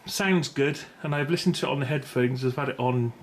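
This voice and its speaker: A man's voice: medium pitch, a little fast. A middle-aged British man giving a casual, unscripted gear review in a rambling, tentative way, often correcting himself. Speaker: video host reviewing gear. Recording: clear background noise, room ambience.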